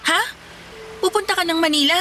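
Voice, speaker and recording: A woman's voice: very high-pitched, brisk, sharp tone, slight background noise. An actress in a Tagalog radio drama playing a playful, teasing young city woman with a breezy, giggly manner. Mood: surprised, hesitant. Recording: music playing behind the voice.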